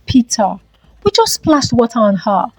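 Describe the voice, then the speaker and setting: A woman voicing a kind, apologetic young lady in a folktale, soft-spoken and earnest. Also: a little high, big pitch swings, moderate pace, rough recording. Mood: pleading.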